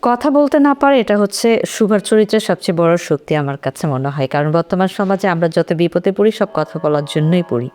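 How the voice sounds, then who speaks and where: Clear-voiced woman on a panel. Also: lowish voice, animated, brisk, few pauses, a little mellow. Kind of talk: thoughtful literary discussion.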